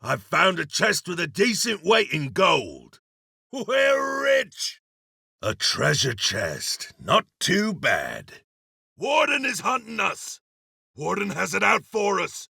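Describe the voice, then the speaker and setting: An actor playing a gruff, hard-bitten demon hunter and vengeful soldier, terse and plain-spoken, with gravelly video game delivery. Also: male voice, high voice, sharp tone, no room sound, clean studio sound, heavily acted. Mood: urgent battle barks. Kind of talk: video game voice lines, scripted.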